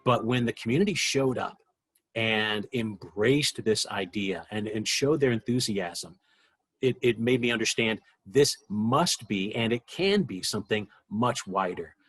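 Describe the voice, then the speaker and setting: A man recalling his work as a producer in an interview, earnest and halting as he searches for words. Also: fairly low pitch, expressive, fairly bright tone, breathy edge. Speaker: interviewee, radio drama executive producer. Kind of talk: reflective interview recollection.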